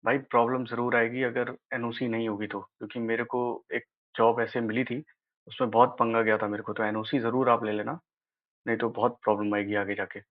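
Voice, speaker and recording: An Indian man talks through practical details in an informal explainer style. He advises casually, like an elder brother, and keeps checking that the listener follows. His voice sits at an ordinary male pitch, and the recording is slightly dull and lo-fi. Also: flat delivery, fairly quick. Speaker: exam advice presenter. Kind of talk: informal explainer of a job notification.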